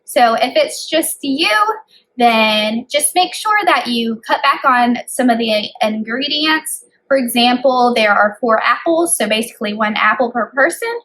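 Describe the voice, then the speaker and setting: A woman's voice: fairly high pitch, a little slow, fairly bright tone, rough recording. A woman hosting storytime as a children's librarian, reading aloud and explaining things in simple phrasing for young children.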